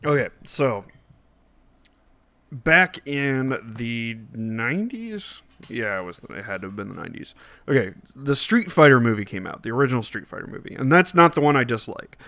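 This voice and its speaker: A man's voice: mid-range voice, sing-song, moderate pace, sharp tone, dry recording. A man co-hosting a podcast, chatting casually and informally in a somewhat hesitant, rambling way. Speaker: podcast co-host. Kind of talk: casual chat about films and games.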